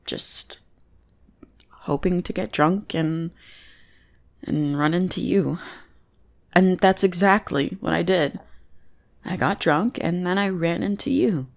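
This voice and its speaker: A woman's voice: fairly low pitch, slow, gravelly, long pauses. A woman playing a bossy, teasing goth bully who is secretly smitten, in an intimate ASMR roleplay. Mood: grudgingly affectionate. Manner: mocking, drawling, intimate teasing. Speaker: voice actor in audio roleplay.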